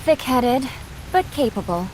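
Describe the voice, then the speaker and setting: An actress playing a stern, scornful female martial sect gatekeeper in a video game, speaking in a measured, commanding way over music. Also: sits high, lively intonation, a little hiss or hum, low-quality recording. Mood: coolly commanding. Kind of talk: video game cutscene acting.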